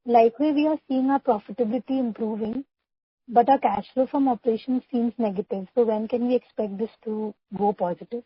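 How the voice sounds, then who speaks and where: High husky Indian woman on conference call. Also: a little fast, no room sound. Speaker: analyst asking questions. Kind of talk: question and answer on a business conference call. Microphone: conference call line. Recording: dull, lo-fi conference call audio.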